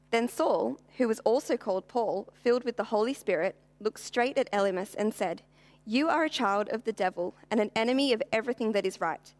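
A woman reading scripture aloud in a measured, formal and deliberate manner. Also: mid-range voice, no room sound. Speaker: Bible reader.

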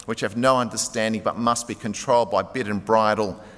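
A man's voice: lowish voice, steady tone, brisk, fairly bright tone. Male preacher with an echoing hall sound. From Greek heritage. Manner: impassioned preaching, rising emphatic calls to act now. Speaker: pastor. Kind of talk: sermon. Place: church sanctuary. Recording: long reverb of a large hall.

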